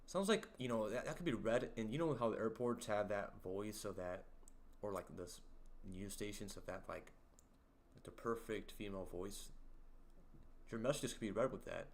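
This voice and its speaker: A young man hosting a casual livestream, reading chat aloud and joking around, with a deep voice. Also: moderate pace. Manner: playful, jokey, sings snippets and reads chat aloud. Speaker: livestream host.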